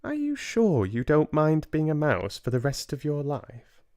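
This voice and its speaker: Man putting on a gentle, slow-speaking old grandmother. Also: a little high, wildly swooping pitch, voice pushed up, heavily acted. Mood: tender. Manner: slow, tender, deliberate. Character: gentle, wise old Norwegian grandmother, calm and loving.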